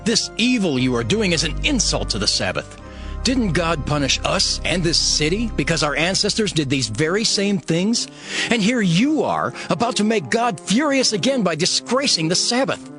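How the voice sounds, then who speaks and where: A man's voice: high-pitched, sing-song, moderate pace, a bit bright. A man playing a stern, devout ancient governor, narrating his reforms in an earnest, emphatic, solemn dramatized scripture style. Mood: angry, scolding. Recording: music bed behind the voice. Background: music.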